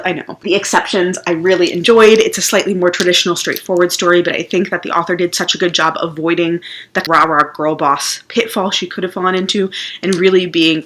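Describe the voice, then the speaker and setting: A woman's voice: lowish voice, a bit bright, a little hiss or hum. A woman giving a casual, chatty vlog review of the books she has read, thinking aloud as she goes. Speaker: book reviewer.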